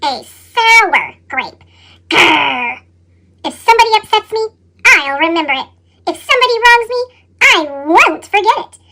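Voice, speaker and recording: Chipmunk storyteller: extremely high, bright, pitch-shifted voice, expressive and dramatic read-aloud for a children's picture book. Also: unhurried, heavily acted. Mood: grumpy. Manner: expressive, dramatic reading with growls and exclamations. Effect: sped-up chipmunk pitch effect.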